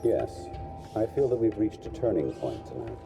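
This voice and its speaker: An actor playing a stern, measured assassin instructor in a video game, with a gravelly voice and formal, commanding delivery. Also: male voice, big pitch swings, heavily acted. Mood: curt, grave. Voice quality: gravelly, very deep. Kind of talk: scripted game dialogue. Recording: game dialogue under music.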